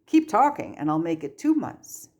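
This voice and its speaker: A woman voicing a stern but fond male teacher in a story reading, firm, measured and dryly patient. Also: lowish voice, very animated, a little mellow. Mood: stern, cold.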